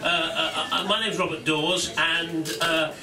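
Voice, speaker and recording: A man giving a halting, self-deprecating speech at a studio launch. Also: fairly high pitch, flat delivery, crowd noise. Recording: distant, echoing, clear noise.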